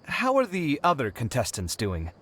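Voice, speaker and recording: A man's voice: medium pitch, moderate pace, background noise. A man voicing an earnest, dramatic young genius, kind-hearted and a bit defensive, in an anime-style video game. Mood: earnest, weary. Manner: expressive, emphatic.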